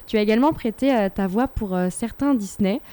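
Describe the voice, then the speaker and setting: A woman's voice: mid-range voice, a little fast, dark tone, smooth. A woman interviewer asking conversational questions, phrasing them a little tentatively.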